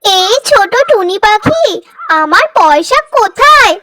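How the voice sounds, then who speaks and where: A woman's voice: extremely high pitch, very animated, unhurried, bright, forceful tone, no echo, heavily acted. A woman voicing a frantic, greedy mother crow in a cartoon, wailing and accusing everyone around her. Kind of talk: cartoon voice acting.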